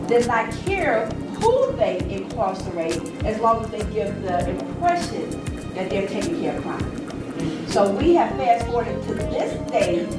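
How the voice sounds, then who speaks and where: A middle-aged woman with a slightly high voice talks to an audience in an emphatic, preachy storytelling way. She is picked up from a distance in a very echoey room, with loud music behind her. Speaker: panel speaker leading a community discussion. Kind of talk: impromptu public talk to an audience. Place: large echoey indoor venue.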